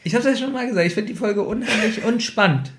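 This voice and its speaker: A man's voice: high-pitched, quick pace, noisy background. A male podcast co-host giving opinions in casual chat, emphatic and repeating phrases to stress them.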